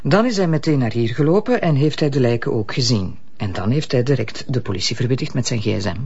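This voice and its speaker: A brisk, animated prosecutor. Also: female voice, a bass voice, gravelly, husky, exaggerated voice. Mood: reporting. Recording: dull lo-fi radio play.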